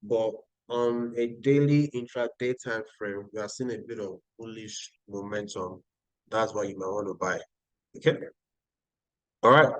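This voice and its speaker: A man's voice: on the low side, steady tone, measured pace, no room sound, low-quality recording. A Nigerian-accented man hosting a live trading stream, talking viewers through charts and answering their chat. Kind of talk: live-stream market analysis.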